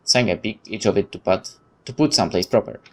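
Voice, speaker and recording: A man's voice: lowish voice, fast. A man hosting a gaming video, reading visual novel text aloud with stumbles and exasperated, sweary asides.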